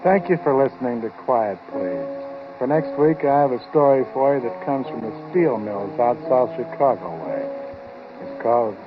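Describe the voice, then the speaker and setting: A man's voice: medium pitch, lively intonation, moderate pace. A man, the show's writer-director, previewing next week's story on 1940s radio. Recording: muffled 1940s radio recording. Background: music.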